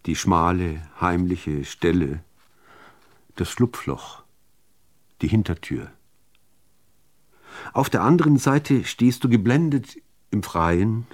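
A man reciting literary poetry, phrasing it in a measured, deliberate way with expressive emphasis. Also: low voice. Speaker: reader of poems.

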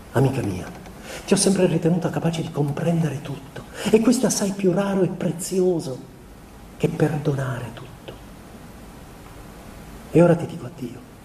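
An actor playing a young husband confessing in a farewell letter, a man delivering a theatrical radio monologue in measured, deliberate, earnest phrases. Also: a little high, mellow. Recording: roomy, distant mic, noisy background.

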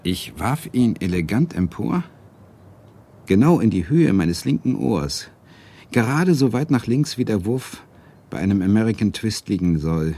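An actor playing a wry, self-doubting Swedish literature lecturer who narrates a radio drama in a reflective, deliberate way. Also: male voice, lowish voice, very animated, mellow, exaggerated voice. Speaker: narrator and lead character.

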